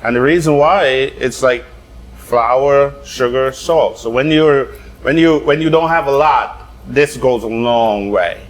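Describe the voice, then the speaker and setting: Animated Guyanese-born man telling stories. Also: medium pitch. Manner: animated storyteller, quick bursts, laughs often. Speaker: chef. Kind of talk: casual chat.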